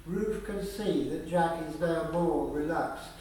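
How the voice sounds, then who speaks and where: A man's voice: fairly high pitch, slow, dark tone, a little hiss or hum. A man reading scripted narration for a radio play. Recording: very echoey room, far from mic.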